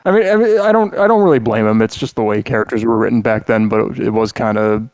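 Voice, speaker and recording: A man's voice: lowish voice, fast talker, darkish tone, clear, resonant tone, no room sound. A man co-hosting a podcast in casual chat, rambling and joking with lots of filler words and restarts. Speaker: podcast co-host.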